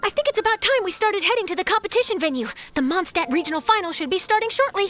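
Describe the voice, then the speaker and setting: A woman voicing a perky, confident young female journalist with an eager, glib manner in an animated game. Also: very high-pitched, quick pace, soft background music. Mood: brisk.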